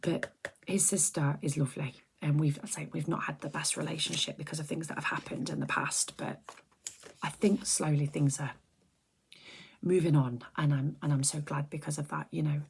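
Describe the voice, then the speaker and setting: A middle-aged woman, chatting softly and rambling on a close mic for an ASMR video, often slipping into whispers. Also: low voice, steady tone, moderate pace, gravelly, a little hiss or hum. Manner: soft-spoken, drifting into whispers, chatty and rambling. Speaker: ASMR creator. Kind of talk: soft-spoken ASMR chat.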